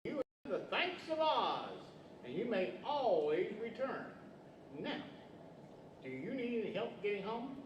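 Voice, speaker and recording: A man's voice: high voice, sing-song, unhurried, pauses often. An older man playing a kindly, formal, theatrical wizard and wise professor in a radio-style stage play. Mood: courtly. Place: large library event room, standing microphone. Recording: distant, dull, echoey hall with hiss.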